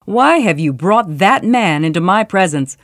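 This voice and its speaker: An imperious storybook emperor acted by a woman, commanding and quick to exasperation. The lines are declaimed with big swings of pitch, in a dry, close sound. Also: big pitch swings, no echo. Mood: angry.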